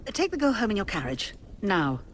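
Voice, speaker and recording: A woman's voice: medium pitch, wildly swooping pitch, moderate pace, a little hiss or hum. An actress playing an imperious noblewoman who gives haughty commands in a radio drama.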